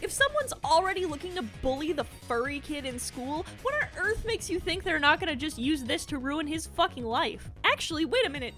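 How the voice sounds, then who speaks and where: A woman's voice: sits high, big pitch swings, fairly quick, few pauses, fairly bright tone. A young woman delivering scripted commentary as an emphatic, accusatory rebuke peppered with swearing. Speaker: video commentator. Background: background music.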